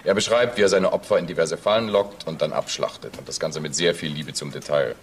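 A sober male investigator in a crime drama. His voice is low and he talks matter-of-factly. Noticeable noise runs behind him. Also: fairly quick. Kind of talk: German TV crime drama acting.

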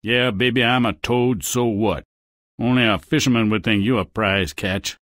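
A man's voice: lowish voice, steady tone, moderate pace, fairly bright tone, dry recording, clean studio sound, natural voice. A man voicing a suave, wheedling, theatrical frog who is secretly a king, in a fairy-tale video game. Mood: sardonic. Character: smug, courtly male frog, secretly a king.

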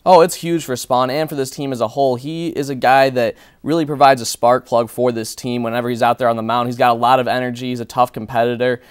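A man's voice: mid-range voice, little pitch movement, fast talker, hardly any pauses, smooth, no room sound. A young man working as a sports analyst in a small TV studio, breaking down games and players confidently and fluently. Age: young adult.

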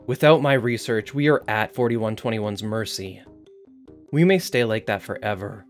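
A man's voice: medium pitch, close to an everyday voice. Casting: male actor as defiant site director for audio drama. Formal, insistent, sardonic edge. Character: defiant male research site director, arguing his case. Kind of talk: scripted audio drama interview.

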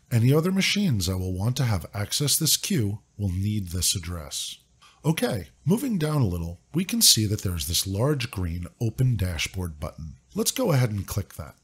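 A man narrating a scripted software walkthrough in a clear, upbeat, step-by-step instructive way. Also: medium pitch. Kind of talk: scripted screen-recording walkthrough.

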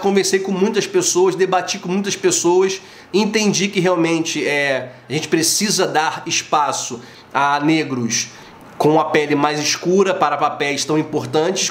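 Animated Rio man, roomy room. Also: fairly high pitch. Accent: Rio de Janeiro Portuguese. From Brazil. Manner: slangy, animated storytelling, acts out quoted voices. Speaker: vlogger. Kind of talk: casual opinion vlog. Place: home living room, indoors. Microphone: camera at close range. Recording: roomy echo in a home room.

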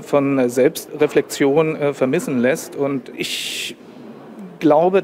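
A man in his sixties answering a TV interview in a halting, emphatic way in a corridor. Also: mid-range voice, a little mellow, a little hiss or hum. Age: 60s. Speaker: interviewee, victim's husband. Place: courthouse corridor.

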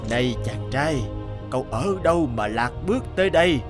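Actor as a solemn old mountain god. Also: male voice, medium pitch, animated, normal speed, music in the background, lightly acted. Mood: warning. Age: older. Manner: solemn, admonishing. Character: stern, benevolent old bearded mountain god. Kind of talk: children's fairy-tale cartoon dubbing.

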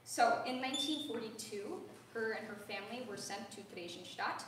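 A woman's voice: fairly high pitch, lively intonation, moderate pace, fairly bright tone. Young woman lecturing, earnest, lots of ums, far from the mic in a big echoey hall. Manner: earnest, conversational, frequent ums. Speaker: voice student giving a lecture recital. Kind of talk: spoken lecture. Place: large recital hall with a grand piano. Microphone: far-off room camera. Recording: echoey hall, heard from afar, faint hiss.

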